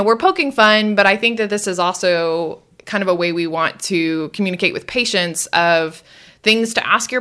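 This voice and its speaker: Bright-voiced woman co-hosting. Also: mid-range voice. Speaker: co-host of a medical review podcast. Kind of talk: casual podcast discussion.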